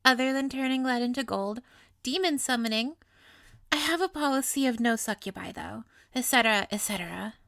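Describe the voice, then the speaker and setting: A high-pitched, sweet young witch, acted by a woman speaks slowly in an intimate audio roleplay. She is playful and possessively adoring, drawing out her words with coy, theatrical affection. The sound is dry and close. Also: creaky, pushed and bright, dry recording, full character voice. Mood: wry, playful. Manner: coy, doting, theatrical, drawn-out.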